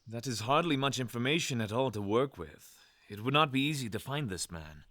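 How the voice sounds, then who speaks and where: A man's voice: mid-range voice, lively intonation, fairly quick, fairly bright tone, no echo. A man playing a formal, skeptical traveler in a fantasy audio drama. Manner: formal, uncontracted phrasing.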